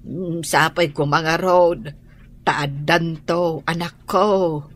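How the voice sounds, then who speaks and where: An actress playing an anxious, hopeful middle-aged mother with an Ilocano accent and a husky voice, sighing and exclaiming in a radio drama. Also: low-pitched, fairly even intonation, very slow, full character voice. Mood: wistful, anxious. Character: anxious, hopeful mother worried for her ailing daughter.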